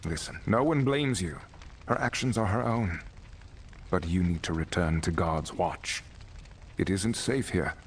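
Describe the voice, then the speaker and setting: A deep-voiced, calm necromancer wanderer speaks in a measured, reserved way. He is a solemn video game hero, recorded with faint noise behind him. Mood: reassuring, grave.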